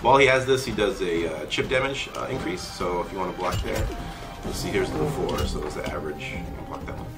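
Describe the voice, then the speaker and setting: A man giving a casual, halting technical demo of a fighting game's moves as a developer. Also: deep voice, over loud music. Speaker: game developer demonstrating character moves. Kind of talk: casual gameplay demo commentary.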